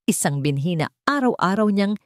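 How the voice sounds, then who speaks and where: A woman's voice: medium pitch, lively intonation, moderate pace, dry recording, studio quality. A woman with a Filipino accent reading a scripted story as a dramatic narrator.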